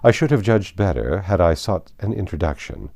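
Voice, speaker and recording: A man voicing a proud, reserved young gentleman in a storybook reading, formal and stiffly courteous. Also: deep voice, very animated, normal speed, vocal fry, full character voice.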